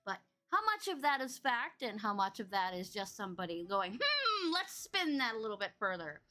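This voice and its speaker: Bubbly, lively high-voiced woman host. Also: moderate pace. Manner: bubbly, teasing, laughs often. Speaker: podcast host. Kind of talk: casual chat.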